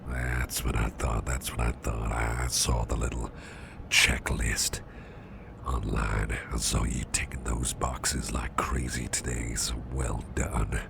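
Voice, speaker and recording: A man playing a protective, gentle boss in a soft ASMR roleplay, fussing reassuringly in a half-whisper with hesitant, repeated phrases. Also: level tone, slow pace, heavily acted. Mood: soothing, reassuring. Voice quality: very deep gravelly half-whisper. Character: protective, gentle, fussing male boss comforting exhausted employee. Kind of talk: ASMR roleplay, close personal attention. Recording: close recording with loud background noise.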